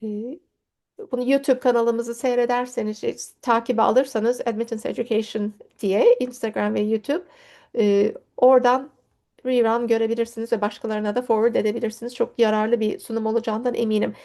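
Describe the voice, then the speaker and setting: A woman's voice: on the high side, steady tone, fairly quick, mellow. A woman, a native Turkish speaker, speaking as an education consultant and host introducing an online presentation on a video call. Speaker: education consultant hosting a webinar.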